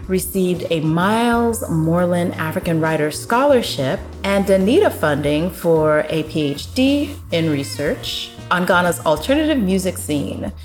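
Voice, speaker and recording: A woman hosting an interview podcast, upbeat and lively, with many short affirmations as she listens. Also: lowish voice. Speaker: podcast host. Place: guest's home neighborhood.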